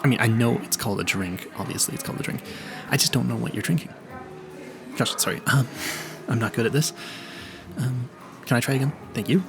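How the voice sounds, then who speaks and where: A man's voice: mid-range voice, brisk, creaky, pauses often, music in the background, close to an everyday voice. A man playing an awkward, smitten young vampire hunter in a romantic audio roleplay, stammering nervously and apologizing politely. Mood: flustered, apologetic.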